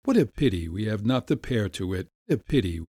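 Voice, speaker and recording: A man's voice: on the low side, very animated, a little fast, dark tone, breathy edge, no room sound, clean studio sound. A man voicing an earnest, insistent young man who pleads effusively, in a raised voice. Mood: earnest pleading.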